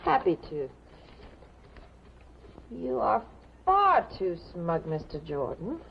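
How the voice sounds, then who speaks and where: An actress playing a sharp-tongued, worried newspaper colleague, prim and insistent, in a 1950s television drama. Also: female voice, lowish voice, big pitch swings, slow pace, creaky, slightly rough, exaggerated voice. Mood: teasing. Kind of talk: 1950s American TV drama acting. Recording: muffled, noisy 1950s television soundtrack.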